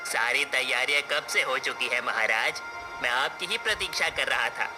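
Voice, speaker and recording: A man's voice: mid-range voice, normal speed, music in the background, natural voice. A man playing a deferential royal minister in a cartoon folk tale, addressing his king formally and respectfully. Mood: dutiful. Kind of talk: cartoon story acting.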